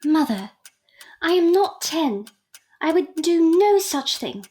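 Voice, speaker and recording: Her voice sits high, with careful formal wording. It is a woman acting a perceptive, prim young daughter of a Victorian family, speaking earnestly in an audio drama. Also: very animated, slow pace, darkish tone, heavily acted. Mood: prim, teasing.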